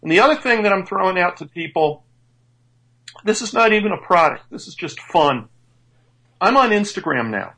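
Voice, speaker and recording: A man's voice: high voice, lively intonation, moderate pace, creaky, long pauses, bright, forceful tone, rough recording. A man in his fifties, a strength coach, chatting enthusiastically on a radio show and stumbling into restarts now and then. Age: 50s. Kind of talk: casual radio show chat.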